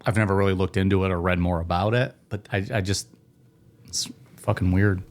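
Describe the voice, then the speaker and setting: A man's voice: low voice, steady tone, fairly quick. A man from Ohio hosting a podcast, chatting casually, joking and ranting with frequent laughs. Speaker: podcast host. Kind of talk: casual chat.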